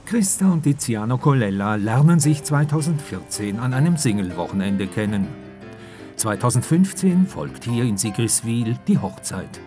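A man reading scripted documentary narration in a calm, even way. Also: mid-range voice, moderate pace, over music.

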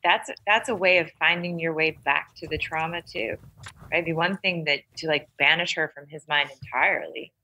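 Low, gravelly woman, thinking aloud. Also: fairly even intonation, moderate pace, bright, forceful tone, poor audio. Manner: thinks aloud, often checking with "right?". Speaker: literary podcast panelist. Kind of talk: casual literary discussion.

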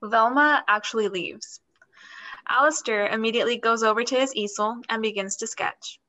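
A woman's voice: fairly high pitch, normal speed, bright, forceful tone. A young woman reading scripted stage directions as the narrator of a play reading. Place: plain indoor room, video call.

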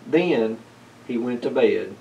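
A man in his thirties reading a children's storybook aloud in a measured, expressive way. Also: mid-range voice, gravelly, muffled recording, slight background noise. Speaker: story reader. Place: indoors, library corner with bookshelves. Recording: picked up from a distance.

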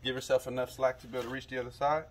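A man's voice: medium pitch, very fast, a little hiss or hum. A young man hosting a fishing channel, casually walking viewers through a hands-on demonstration outdoors in a suburban driveway. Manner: relaxed, instructive, addresses viewers directly. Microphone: camera mic, moving toward and away.

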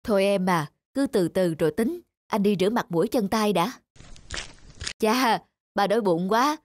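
A man playing a kindly, easygoing father of young girls in a cartoon, talking in a gentle, placating way. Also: high-pitched, animated, moderate pace, a little hiss or hum. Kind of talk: cartoon voice acting.

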